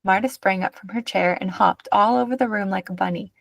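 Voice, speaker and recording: A woman reading a children's picture book aloud as an expressive narrator. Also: medium pitch, fairly quick, gravelly, no room sound.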